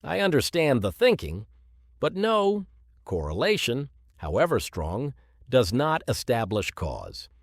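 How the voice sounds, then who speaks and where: A man's voice: mid-range voice, huge pitch swings, measured pace, heavily acted. A man playing an exacting academic supervisor in a scripted listening exercise, speaking precisely and with authority. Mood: firm, critical. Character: male professor supervising students, exacting and measured. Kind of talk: scripted exam listening dialogue.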